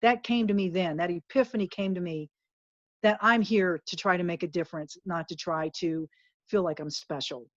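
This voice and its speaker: A woman's voice: lowish voice, moderate pace, no room sound. Earnest woman guest, dull dry audio. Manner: earnest, emphatic, motivational. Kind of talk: candid interview talk.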